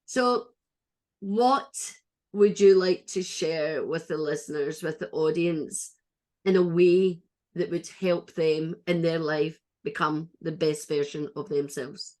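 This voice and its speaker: Chatty Scottish woman host, lowish voice. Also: fairly even intonation, slow pace, a little mellow. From Scotland. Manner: chatty, restarts often, earnest. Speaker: podcast host. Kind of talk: casual interview chat.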